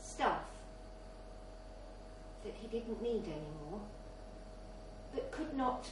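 A woman's voice: medium pitch, animated, takes it slowly. A woman reading a literary short story aloud as scripted narration at a live event in a bar. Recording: very echoey, distant mic, faint noise.

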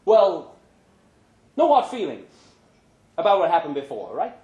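A man voicing a nervous, conciliatory cowboy stepdad who fumbles haltingly for his words in a short story. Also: on the high side, wildly swooping pitch, darkish tone, reverberant, lo-fi sound, pitched above the performer's own voice. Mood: awkward, halting. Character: nervous, conciliatory cowboy stepdad, male country bar regular.

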